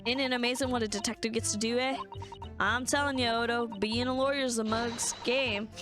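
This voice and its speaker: A woman's voice: on the high side, steady tone, lightly acted. A woman voicing a brash, slangy young woman police inspector, over game music. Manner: slangy, brash, quick-talking.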